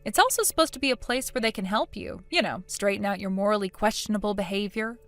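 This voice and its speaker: A woman's voice: medium pitch, fast, fairly bright tone, faint music behind, close to an everyday voice. Woman playing a smug, blunt, judgmental woman. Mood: smug, needling. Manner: smug, matter-of-fact, needling. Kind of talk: acted story dialogue.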